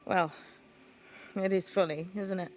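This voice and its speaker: A woman playing a blunt, sly young witch's novice in a video game, wry and teasing in a deadpan way. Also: lowish voice, a little slow, slight background noise, natural voice. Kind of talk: video game cutscene acting.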